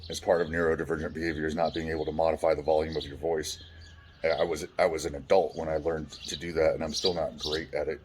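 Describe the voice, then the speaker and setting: A man with a deep, resonant voice telling his life story frankly, swearing casually and restarting often. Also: slight background noise. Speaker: vlogger. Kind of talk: candid personal storytelling.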